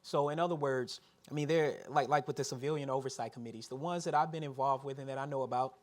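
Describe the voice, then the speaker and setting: A man's voice: mid-range voice, steady tone. A man speaking as a panelist, giving earnest practical advice. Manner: fast, earnest, advisory. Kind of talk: panel discussion answer.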